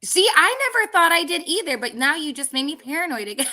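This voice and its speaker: A woman's voice: high voice, very animated, fast talker, bright, forceful tone. A woman hosting a casual podcast, rambling with lots of fillers and restarts. She says others call her voice girly. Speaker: podcast host. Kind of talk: casual reality-show commentary.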